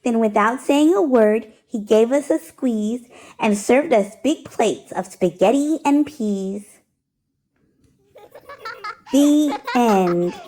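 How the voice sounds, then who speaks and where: A woman hosting storytime, reading a rhyming picture book aloud in an expressive, rhythmic, sing-song way. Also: on the high side, takes it slowly.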